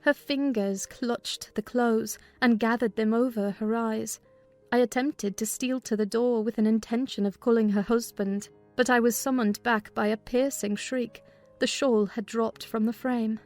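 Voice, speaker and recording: A woman playing a sensible, scolding housekeeper who narrates a dramatized classic novel, measured in storytelling and firm and brisk in dialogue. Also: on the high side, darkish tone, soft background music, natural voice. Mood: measured, concerned. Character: sensible, scolding housekeeper recounting her mistress's illness.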